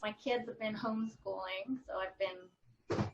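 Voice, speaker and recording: A woman's voice: medium pitch, steady tone, measured pace, gravelly, lo-fi sound. A woman who works as an actor, chatting casually on a remote call from a roomy space. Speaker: actor on a virtual table read. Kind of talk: casual chat. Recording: roomy, distant call microphone.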